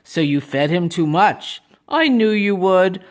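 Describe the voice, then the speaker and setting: A woman voicing a knowing, resigned man who gently scolds in a children's storybook. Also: fairly low pitch, lively intonation, slow pace, voice pushed down. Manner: resigned, chiding. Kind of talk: children's storybook read-aloud.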